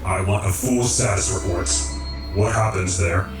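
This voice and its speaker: A man in a radio drama playing a calm, commanding creator of realities who speaks in measured, formal, cryptic phrases. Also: deep voice, natural voice. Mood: calm, authoritative. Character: calm, commanding cosmic creator, male, cryptic philosophical overseer. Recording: roomy echo, distant, music underneath.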